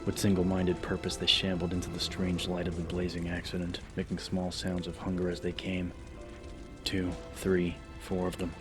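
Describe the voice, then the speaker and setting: Very deep, even-toned male horror narrator. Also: normal speed. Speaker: audiobook narrator. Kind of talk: dramatic scripted horror narration. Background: background music.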